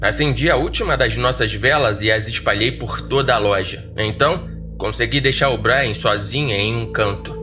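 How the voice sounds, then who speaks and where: A man's voice: mid-range voice, moderate pace. A Brazilian man narrating a scripted horror story in the first person as a podcast narrator. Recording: roomy echo, faint music behind.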